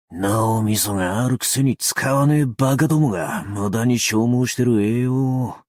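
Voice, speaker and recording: A man playing a brash, cocky explosives fanatic with a tail in an anime game, talking in rough, swaggering slang with a gravelly voice. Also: lowish voice, no echo, clean studio sound, lightly acted. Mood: cocky, confiding. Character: brash tailed male explosives fanatic, cocky bureau officer. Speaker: game character voice lines. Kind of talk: Japanese anime game voice acting.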